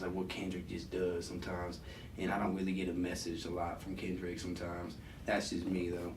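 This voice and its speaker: A man who is a guest rapper, talking loosely and rambling, with lots of fillers and slang. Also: darkish tone. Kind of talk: casual conversation. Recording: echoey room, distant mic, faint rumble.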